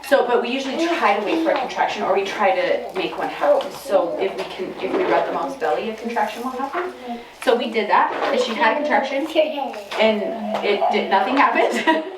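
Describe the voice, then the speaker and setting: A woman with a soft, gentle voice talks casually as a midwife leading a group. She explains and tells stories informally. The recording is distant and roomy, with clear background noise. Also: a little high, expressive, brisk. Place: midwife care space with group gathered. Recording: echoey, noisy room, distant mic.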